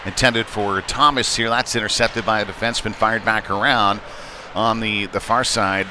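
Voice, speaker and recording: Man calling hockey over clear rink noise. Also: on the low side, normal speed. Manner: casual, unpolished, conversational between plays; excited calls when play heats up. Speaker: hockey play-by-play commentator. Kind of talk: live sports commentary of a youth ice hockey game. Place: indoor ice rink. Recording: noisy live rink stream, clear background noise. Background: rink ambience and noise.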